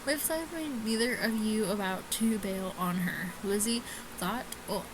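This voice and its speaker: A woman doing casual gameplay commentary, reading game text aloud haltingly and often stumbling and rereading lines. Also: mid-range voice, noisy background. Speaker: let's player reading a visual novel aloud. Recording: music playing behind the voice. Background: game music.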